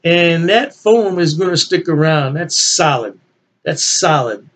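A man's voice: a little high, animated, a little slow, a bit bright. A man in his sixties chatting casually to camera as he hosts a review, enthusiastic and emphatic, often repeating phrases for emphasis. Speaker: beer reviewer, channel host. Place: small indoor room at home.

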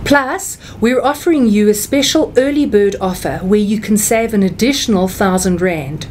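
A middle-aged South African woman explaining something step by step in a measured, instructional way, as a seminar speaker. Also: mid-range voice, darkish tone, a little hiss or hum. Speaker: tax seminar speaker and writer. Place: small indoor office or meeting room.

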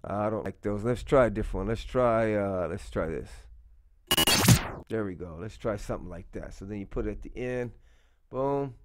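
Man giving a casual software walkthrough. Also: on the low side, normal speed, heavy background noise. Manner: informal, talking himself through each step. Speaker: audio production tutorial host, DJ drop producer. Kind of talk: casual screen-recorded software tutorial.

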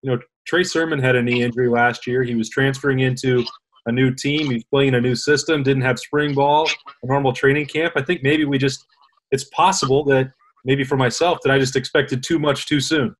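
A man's voice: mid-range voice, fairly even intonation, brisk, low-quality recording. A man in his thirties hosting a casual sports interview, setting up questions and video clips in a conversational way. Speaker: host, sports reporter. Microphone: video call.

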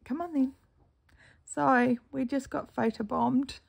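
Slow, croaky New Zealand woman. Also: high voice, fairly even intonation. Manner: rambling storytelling with frequent throat clearing. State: croaky morning voice. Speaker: cross-stitch vlogger. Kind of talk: casual chatty vlog while stitching.

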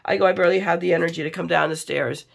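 A middle-aged American woman, sick and low on energy, chatting casually and rambling in a confiding way for a craft vlog. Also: steady tone, rapid-fire, creaky. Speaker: craft vlogger. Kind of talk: casual chat in an unboxing vlog. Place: indoors at a craft table.

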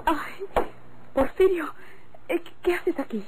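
Flustered, high-voiced servant woman. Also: expressive, slow pace, background noise, heavily acted. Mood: startled, evasive. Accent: Mexican. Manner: hesitant, flustered, stammering. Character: nervous, submissive young female servant caught in intrigue. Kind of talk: old Mexican radio drama acting.

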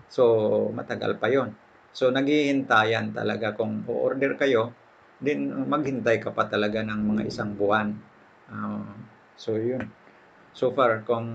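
An older man with a Filipino accent giving casual, halting vlog commentary, mixing in English words. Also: on the low side, gravelly, fairly bright tone, muffled recording. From Philippines. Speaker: fish farmer and vlogger.

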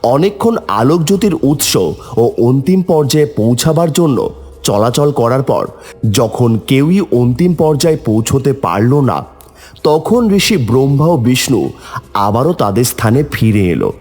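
A man's voice: mid-range voice, big pitch swings, a little slow, a little mellow, slightly rough, soft background music. A man narrating a scripted mythological story as its storyteller.

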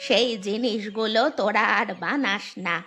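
A woman's voice: medium pitch, fairly even intonation, moderate pace, bright, forceful tone, soft background music, lightly acted. A woman voicing a blunt, choosy old village granny in a cartoon, chiding and matter-of-fact. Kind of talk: Bengali village cartoon dialogue.

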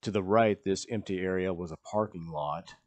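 A man narrating a travel video voice-over, explaining the footage plainly with frequent pauses. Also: sits low. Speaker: travel vlogger.

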